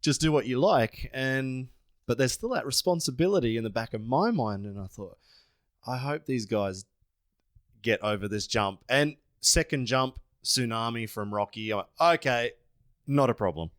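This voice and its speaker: Lively thirties man hosting, dry sound. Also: medium pitch, normal speed, no room sound. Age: 30s. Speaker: podcast host, interviewer. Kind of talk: casual interview chat.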